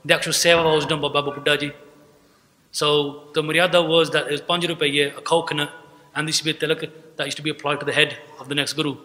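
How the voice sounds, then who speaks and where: A man's voice: a little high, little pitch movement, quick pace, pushed and bright. A young man giving a religious talk at a podium mic, telling a story earnestly and acting out the dialogue. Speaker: speaker at a gurdwara event. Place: large hall, indoors. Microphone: podium mic on a stand. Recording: long hall reverb.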